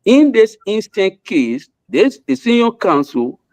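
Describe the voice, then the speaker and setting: A man's voice: sits high, big pitch swings, takes it slowly, darkish tone. A man with a Liberian accent, a lawyer debating on a talk show, often repeating his words. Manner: repeats words, courteous to seniors.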